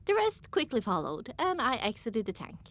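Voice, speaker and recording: Expressive high-voiced woman narrator. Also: normal speed. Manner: expressive, dramatic. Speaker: audiobook narrator. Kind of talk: scripted first-person story narration.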